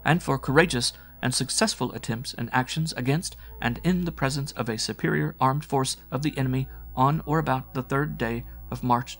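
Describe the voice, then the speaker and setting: A brisk, kindly hospital nurse performed by a man in a science fiction story, the voice lifted well above his own. The delivery is calm and formal, with a slightly gravelly edge. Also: mid-range voice, pitched above the performer's own voice.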